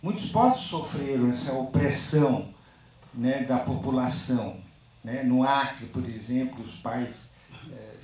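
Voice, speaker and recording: A man speaking unscripted on a panel after a film screening. He talks in a halting, reflective way and ends most phrases with a tag question. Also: mid-range voice, a little mellow. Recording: very echoey, distant microphone.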